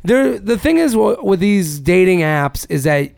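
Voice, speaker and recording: Man, gravelly, fairly high voice, dry close mic, animated podcast co-host. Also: a little slow, no echo. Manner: animated, swears casually, reads listener emails aloud. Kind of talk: casual chat.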